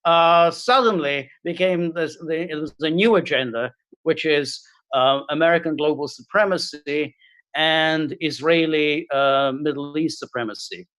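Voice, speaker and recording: Middle-aged guy from hungary, video call, lots of ums and restarts, kinda high voice, dry sound. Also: a bit bright, smooth, no room sound. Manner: halting, many fillers and restarts. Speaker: guest. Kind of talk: casual interview talk.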